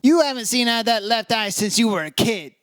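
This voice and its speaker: A man's voice: very high voice, expressive, fairly quick, a bit bright, smooth, dry recording, studio quality. A man playing a sardonic, hardboiled insurance investigator in an old-time radio detective drama. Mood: accusing. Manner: sardonic, taunting, clipped phrases.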